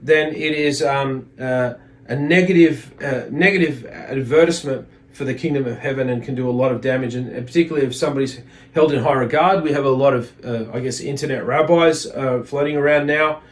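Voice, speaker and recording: A man giving a religious lesson as a teacher, reading from a text and explaining it in a halting way, often repeating words. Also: medium pitch.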